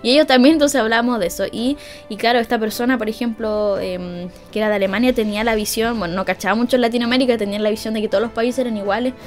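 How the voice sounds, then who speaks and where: A woman's voice: on the high side, fairly even intonation, fast, soft background music. A young woman with a Chilean accent hosting a podcast, telling a story casually, laughing often and acting out what people said. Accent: Chilean Spanish. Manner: chatty, laughs often, acts out quoted lines. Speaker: podcast host.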